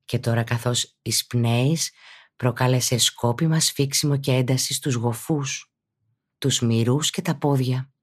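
A woman speaking as a yoga teacher and podcast host, guiding a meditation in a calm, instructive, deliberate way. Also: a bass voice, husky. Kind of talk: guided meditation narration.

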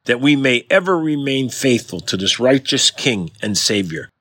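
A man, a pastor, giving a devotional with scripture reading and prayer in a solemn, measured, formal way. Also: medium pitch, animated, pushed and bright, no room sound. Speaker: pastor and podcast host.

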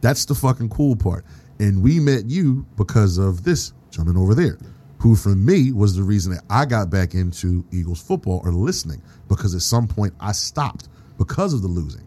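A man hosting a casual podcast chat, excitable and profane, laughing often. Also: lowish voice, moderate pace. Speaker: podcast host.

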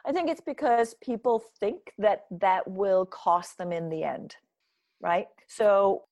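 A woman's voice: medium pitch, takes it slowly, a little mellow, no echo, studio quality. A woman from Denmark giving thoughtful, earnest answers as an interview guest, often checking in with "right?". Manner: earnest, reflective, frequent restarts and "right?" tags. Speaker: guest, nutrition author and speaker.

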